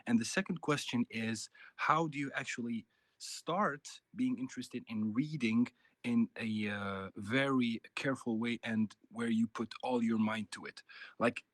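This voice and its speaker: A man's voice: mid-range voice, a little slow, no room sound. Chatty man asking questions on a call. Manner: friendly, chatty, with laughter. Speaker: audience member asking questions. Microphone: video call.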